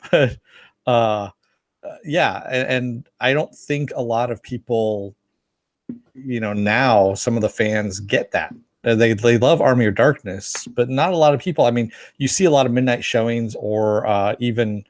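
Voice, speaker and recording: A man's voice: lowish voice, fairly even intonation, moderate pace, dry recording, clean studio sound. A man co-hosting a podcast, chatting casually and reading out listener comments. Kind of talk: casual fan podcast discussion.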